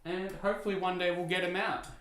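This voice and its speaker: A man with a low speaking voice chatting casually and self-deprecatingly to his viewers, rambling with lots of fillers. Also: flat delivery, fast talker, pushed and bright, roomy sound, rough recording. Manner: easygoing, rambling, self-deprecating, many "you know" and "uh" fillers. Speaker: VTuber streamer. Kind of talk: casual chat to stream viewers while restringing a guitar.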